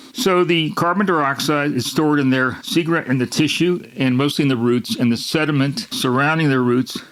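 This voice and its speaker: Man, gravelly-edged, mid-pitched, host walking through a science story, loose and rambling. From Miami, Florida. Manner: rambling, with restarts and repetitions. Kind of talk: unscripted explanatory monologue.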